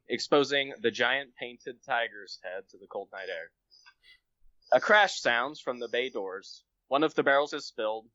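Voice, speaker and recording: A man reads his own comic story aloud on a podcast. His voice is bright and sharp, recorded very dry and close, with a slightly lo-fi, dulled edge. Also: medium pitch, no room sound. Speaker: podcast guest, amateur writer.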